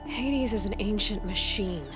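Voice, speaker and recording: Female actor as a unyielding young warrior woman, mid-pitched, blunt, scornful, dry, game cutscene, music behind. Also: measured pace, close to an everyday voice. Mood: scornful defiance. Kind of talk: dramatic game cutscene acting.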